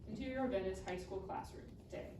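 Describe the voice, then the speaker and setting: A woman's voice: mid-range voice, brisk. A woman reading scripted screenplay narration aloud at a live table read. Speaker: stage directions reader. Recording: echoey room, distant mic, clear noise.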